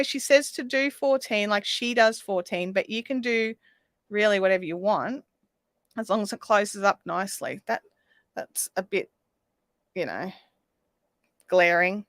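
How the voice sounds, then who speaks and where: A woman hosting a craft livestream, chatting casually and reading out viewer comments while she crochets, her voice slightly raspy. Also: medium pitch, unhurried, long pauses, a bit bright, dry recording. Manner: chatty, reads viewer comments aloud, counts stitches under her breath. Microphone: desk microphone.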